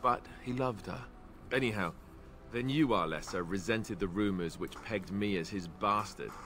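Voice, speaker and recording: A man's voice: lowish voice, moderate pace, fairly bright tone, close to an everyday voice. A man voicing a wry, self-deprecating young warrior in a video game, talking in a rambling, joking manner. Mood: reflective, regretful. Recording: faint background noise.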